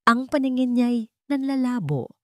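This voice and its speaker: A woman's voice: fairly high pitch, animated, measured pace, darkish tone, no echo, studio quality. A woman narrator delivering a scripted dramatic love story in a suspenseful, emotive storytelling manner.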